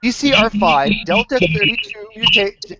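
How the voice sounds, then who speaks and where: High-voiced man hosting a debate, dull audio. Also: lively intonation, moderate pace, over a noisy crowd. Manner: insistent, presses for yes or no answers. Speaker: host moderating a debate panel. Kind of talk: heated live debate. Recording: dull, lo-fi online call audio.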